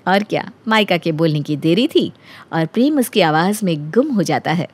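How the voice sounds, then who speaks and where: A woman's voice: lowish voice, lively intonation, moderate pace, breathy edge. A woman narrating a scripted story as a storyteller.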